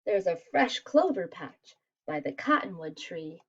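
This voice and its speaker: A woman's voice: fairly low pitch, very animated, unhurried, husky, muffled recording, pitched below the performer's own voice, full character voice. A woman voicing a friendly, helpful hare with an inviting manner in a children's picture book.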